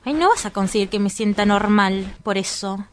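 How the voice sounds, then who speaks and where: A woman's voice: mid-range voice, normal speed, a little hiss or hum, natural voice. A woman with an Argentine accent playing a prim, sensible young woman in a radio drama, earnest and questioning. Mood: guarded, earnest. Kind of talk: scripted radio drama acting.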